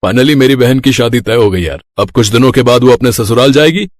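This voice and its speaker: A man's voice: medium pitch, a little fast, dry recording, close to an everyday voice. A man voicing a cheeky, blunt young office friend in a dramatized story, talking casually in slangy, joking banter. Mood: excited, joking.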